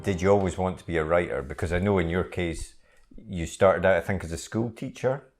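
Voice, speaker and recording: Guy hosting a writing podcast, really deep voice, laid back, says uh a lot. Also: a little fast, over music. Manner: relaxed, filler-heavy delivery. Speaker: podcast host. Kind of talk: podcast intro and interview.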